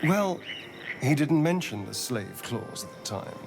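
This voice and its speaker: An actor playing a sardonic, haunted vampire spawn in a video game, with an arch, dramatic, drawling delivery. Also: male voice, medium pitch, sing-song, unhurried, gravelly. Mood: bitter, uneasy. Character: flamboyant, sardonic male vampire spawn, haunted by his master. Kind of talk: theatrical video game voice acting. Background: background music.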